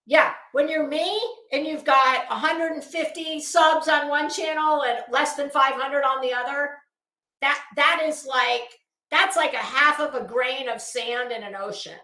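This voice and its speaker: A woman's voice: sits high, steady tone, a little slow, bright, forceful tone. A woman giving an unscripted opinion rant as a channel host, in a blunt, scornful and emphatic manner. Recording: roomy, echoing sound.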